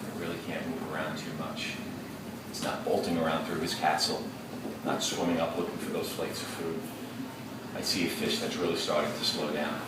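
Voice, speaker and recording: A deep-voiced male teacher reads a story aloud to his class, slow and deliberate, pausing to think aloud and ask questions. He is heard from across a very echoey classroom, with steady noise behind him. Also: recorded from a distance.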